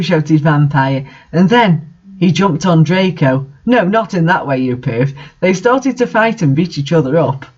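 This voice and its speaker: A man with a rather high-pitched voice reads a story aloud with sardonic commentary. He sounds exasperated by the material. The recording is somewhat dull and lo-fi. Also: a little mellow. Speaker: reader and commentator of bad fan fiction. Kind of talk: story reading with mocking commentary.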